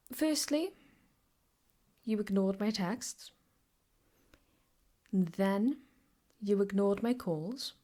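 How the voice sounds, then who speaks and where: A woman playing an obsessive, possessive, sweetly menacing ex-girlfriend in an improvised ASMR roleplay, teasing and often slipping into breathy whispers. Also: mid-range voice, a little mellow. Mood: aggrieved, controlled.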